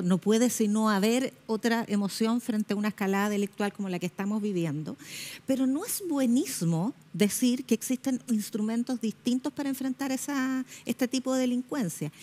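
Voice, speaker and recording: A woman's voice: on the high side, animated, moderate pace, dark tone. Pointed woman critic on a tv panel. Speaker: journalist panelist. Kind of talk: television panel debate. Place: television studio.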